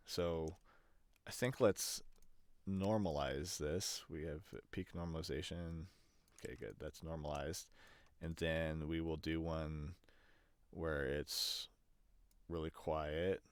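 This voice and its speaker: A man's voice: extremely low pitch, slow pace, creaky, pauses often, no room sound. A man giving a casual, unscripted audio production tutorial, speaking hesitantly as he thinks aloud at his computer. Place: indoors at a computer.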